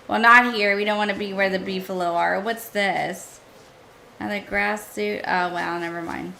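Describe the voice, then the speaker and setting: Woman game streamer, casual running commentary, bright tone, a touch hoarse. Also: mid-range voice, a little hiss or hum. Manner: chatty, thinking aloud, talks to chat. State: hoarse, losing her voice.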